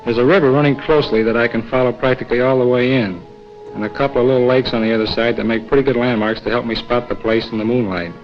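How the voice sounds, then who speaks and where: A man plays a confident American wartime pilot giving a briefing. He talks informally in a medium-pitched voice. The recording is a dull old film track with music underneath. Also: close to an everyday voice. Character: confident, plain-talking male military pilot briefing an agent. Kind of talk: 1940s training-film acting. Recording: dull 1940s film soundtrack, music behind.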